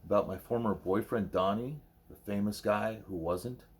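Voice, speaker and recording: A man's voice: on the low side, moderate pace. A man reading a first-person novel aloud as scripted audiobook narration.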